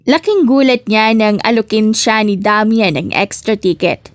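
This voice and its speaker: A woman's voice: mid-range voice, a little slow, no room sound, studio quality. A woman reading a scripted story as an audiobook narrator.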